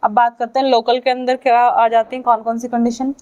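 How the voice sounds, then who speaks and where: A woman with an Indian accent giving a lecture to students, speaking in short halting phrases and checking in with her listeners. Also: fairly high pitch, level tone, mellow, dull audio. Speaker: physiotherapy lecturer.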